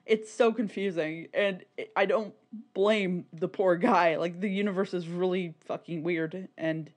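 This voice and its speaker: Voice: mid-range voice, fairly even intonation, unhurried. A podcast co-host in loose, casual conversation. The delivery rambles and leans hard on words for emphasis, with swearing dropped in freely and opinions stated bluntly. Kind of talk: casual, opinionated podcast chat about niche fan media.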